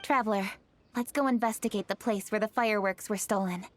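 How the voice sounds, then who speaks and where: A woman's voice: mid-range voice, fairly quick, a little hiss or hum, natural voice. A woman playing a poised young noblewoman leader in a video game, serious and dutiful, speaking formally and firmly. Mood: reassuring, polite. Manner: composed, formal, firm. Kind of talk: video game character acting.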